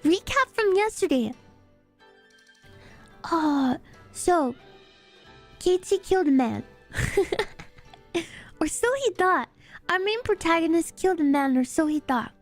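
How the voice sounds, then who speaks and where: A woman's voice: extremely high pitch, lively intonation, slow, long pauses, soft background music. A woman chatting casually as a streamer, with an offhand, amused and giggly manner. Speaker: VTuber streamer. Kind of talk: casual stream chat and recap.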